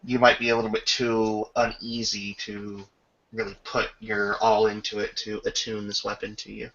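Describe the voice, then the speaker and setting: A man runs a tabletop roleplaying game, improvising scenes and calling for rolls with pauses mid-sentence. His voice is creaky with fry and has a bright, tense edge. The sound is slightly dull, as on an online voice chat. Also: fairly low pitch, monotone. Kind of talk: improvised game narration and rules talk.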